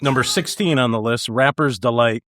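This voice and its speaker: A man on a podcast panel, chatting casually about music in a hesitant, halting way. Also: mid-range voice, fairly bright tone.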